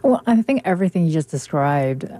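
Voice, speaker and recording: A woman's voice: sits low, big pitch swings, fairly quick, mellow. A woman hosting a radio interview, asking thoughtful questions in a conversational way. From United States. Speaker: radio host.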